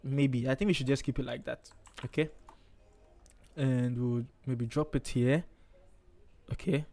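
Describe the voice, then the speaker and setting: A man's voice: medium pitch, fairly even intonation, a little slow, mellow. A man from Ghana with a Ghanaian accent, hosting a casual live design stream and chatting as he works, often checking in with "okay". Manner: chatty, thinks aloud, frequent okays. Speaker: livestream host, designer.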